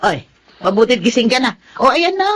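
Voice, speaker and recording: A woman's voice: a little high, very animated, a little fast. A woman playing a doting, meddling old grandmother in a Filipino radio drama, fussy and exclaiming. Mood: fond, fussing. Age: older.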